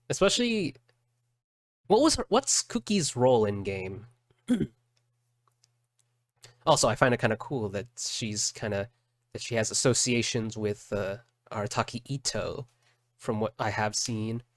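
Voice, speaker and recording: A man giving casual reaction commentary as a streamer, halting and often repeating his words. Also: lowish voice, no room sound.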